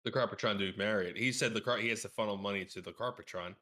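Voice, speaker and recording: A man's voice: fairly low pitch, fast, bright, forceful tone. A man in a casual gaming podcast conversation, explaining details in an informal, knowledgeable way. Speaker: podcast guest.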